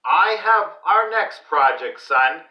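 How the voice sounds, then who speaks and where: A man voicing a loud, blustering, excitable dad in a children's story, with emphatic delivery. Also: fairly high pitch, slow, dull audio. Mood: eager. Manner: shouting, emphatic. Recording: roomy echo, distant microphone.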